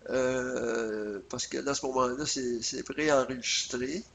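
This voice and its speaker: A man's voice: mid-range voice, steady tone, unhurried, creaky, fairly bright tone. Quebec man giving a tutorial, faint noise behind. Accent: Quebec French. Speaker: software tutorial presenter. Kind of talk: casual screencast tutorial narration. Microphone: USB computer microphone.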